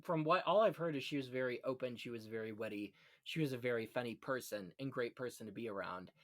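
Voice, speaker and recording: A man with a mid-pitched voice hosts a relaxed discussion of film history. He talks effusively and with plenty of opinion, moving from one anecdote to the next. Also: quick pace. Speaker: podcast host on classic Hollywood films.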